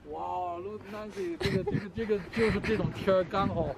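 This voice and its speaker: A man's voice: high-pitched, very animated, a little fast, gravelly, heavy background noise. A middle-aged father on a family trip, exclaiming with enthusiasm over the scenery. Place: outdoors at a lakeside viewpoint. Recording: outdoor phone audio, loud crowd behind.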